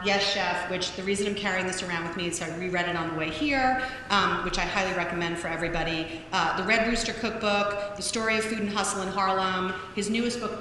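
A woman's voice: mid-range voice, bright, forceful tone, a little hiss or hum. A woman moderating an onstage conversation, reading out an upbeat introduction of her guest and then asking questions. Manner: upbeat, reads a long list. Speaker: interviewer, event moderator. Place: large room at an event. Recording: very echoey hall, faint ambience.

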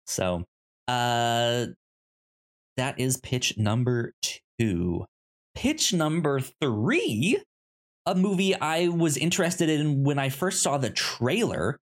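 Halting, stammering podcast co-host, medium pitch. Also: very animated. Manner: halting, stammering, often stretching and repeating syllables. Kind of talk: casual movie podcast chat, pitching films.

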